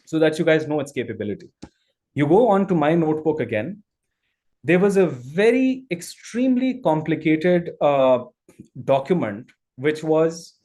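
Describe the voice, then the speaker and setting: A male trainer whose first language is Urdu, giving an energetic live online demo and often repeating and restarting his phrases. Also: fairly high pitch.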